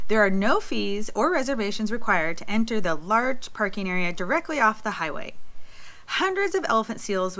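A middle-aged woman reading scripted travel narration in a lively, inviting guide manner, as a voice-over. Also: mid-range voice. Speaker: travel vlog co-host. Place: voice-over, not recorded on location.

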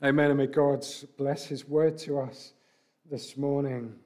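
A man, preaching a sermon at a lectern mic in a church hall, a little croaky from a cough, talking conversationally with rhetorical questions. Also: mid-range voice, level tone, measured pace, a little mellow. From England. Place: large church hall with brick wall, indoors. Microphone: stand mic at a lectern.

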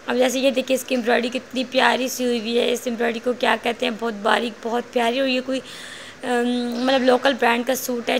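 A woman narrates a shop walkthrough, praising each item as she goes. Her voice sits fairly high, with a gravelly edge and little rise and fall. She records on a phone in a shop, with faint noise behind her. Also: a bit bright. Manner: chatty, praising, listing prices. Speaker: vlogger showing clothes at a shop. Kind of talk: casual shopping vlog narration. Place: indoor clothing shop.

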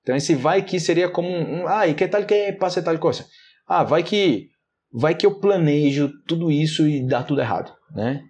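A man in his thirties with a Brazilian Portuguese accent, talking to camera as a Portuguese teacher. His voice sits a little high for a man, and he explains words with lively gestures and acted-out examples. It is recorded close on a small lapel mic in a small room. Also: moderate pace. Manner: animated, gestures a lot, acts out example phrases. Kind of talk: casual video lesson explaining vocabulary. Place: small indoor room, plain wall.

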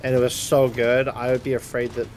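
A man chatting casually while playing a co-op video game, halting as he thinks aloud. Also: medium pitch, flat delivery, a little hiss or hum, rough recording. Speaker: gamer playing co-op. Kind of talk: casual gaming chat.

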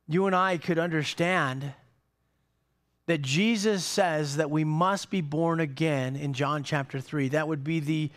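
A middle-aged man preaching a sermon on a church stage, animated, with many repeated words and restarts. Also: a little high, unhurried. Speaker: pastor.

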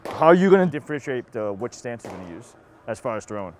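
Man, Massachusetts accent, baseball coach, animated pitch, casual encouraging drill talk. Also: quick pace, a little hiss or hum. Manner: encouraging, short bursts of slangy praise. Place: outdoor batting cage and bullpen.

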